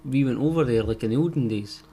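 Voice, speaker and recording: A young man from Fife chatting on a podcast in a relaxed, halting way, dropping in "aye" a lot. His voice sits at an average pitch for a man. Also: husky. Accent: Scottish, Fife. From Scotland. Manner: laid-back, says "aye" often, halting. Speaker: podcast co-host. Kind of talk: casual chat.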